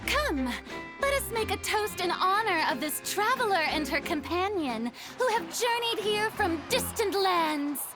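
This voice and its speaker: A grandiose, theatrical young ruler holds court in a high, swooping voice with slow, stately pacing. The game score plays clearly beneath her. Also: very animated, heavily acted. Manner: grand, theatrical public address. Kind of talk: video game cutscene dialogue. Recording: game audio with clear background music.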